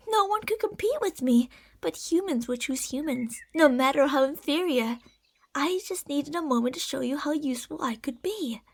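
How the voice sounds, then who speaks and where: A woman's voice: high-pitched, a little hiss or hum. A woman voicing a possessive, delusional lamia monster in an ASMR roleplay, speaking in a haughty, theatrical, sweetly gaslighting way. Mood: sweetly wounded.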